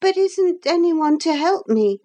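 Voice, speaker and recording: A woman's voice: on the high side, slow pace, darkish tone, pitched above the performer's own voice. A woman playing an earnest young boy hero in a storybook, speaking with careful sincerity. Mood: uneasy. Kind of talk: storybook character voice.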